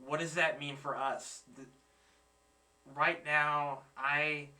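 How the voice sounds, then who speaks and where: A man's voice: on the high side, level tone, takes it slowly, sharp tone, far from the mic. A man in his twenties hosting a reaction video, chatting casually and searching for his words. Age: 20s. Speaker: reaction channel host. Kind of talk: casual chat.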